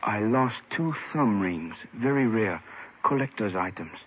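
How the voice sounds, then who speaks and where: Low-voiced, courteous injured jade dealer. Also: male voice, lively intonation, measured pace, slightly rough. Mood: careful, courteous. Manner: polite, careful, formal, hesitant. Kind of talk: 1950s radio drama acting. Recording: tinny, faintly noisy old radio recording.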